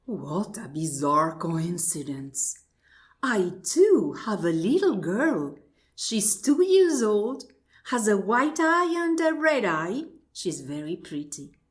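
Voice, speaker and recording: A woman's voice: medium pitch, sing-song, takes it slowly. A woman playing a genteel, wonderstruck married lady in an absurdist radio play, formal and exclamatory, repeating her polite phrases. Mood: bemused. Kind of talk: absurdist stage dialogue played as radio drama.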